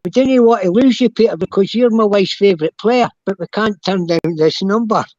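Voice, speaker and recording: An older Scottish man tells stories from his playing days in a fairly high voice. He rambles and halts as he goes, and the sound is dry and a bit dull, with no room around it. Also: darkish tone, dry recording. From Scotland. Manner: rambling, halting storyteller. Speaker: guest, former footballer. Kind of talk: casual chat, reminiscing.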